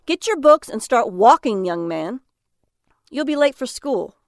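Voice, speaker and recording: A woman's voice: on the high side, big pitch swings, unhurried, no room sound, studio quality. An actress playing a stressed mother and trucker's wife in an audio drama, by turns snappish and chatty. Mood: exasperated, scolding. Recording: faint background noise.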